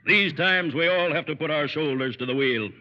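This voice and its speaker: An actor playing a brusque, pompous older judge and employer in an old radio drama, speaking with declamatory authority. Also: male voice, fairly high pitch, normal speed, pushed and bright. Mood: pompous, solemn. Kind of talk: 1930s-40s radio acting. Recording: muffled vintage radio recording, echoey.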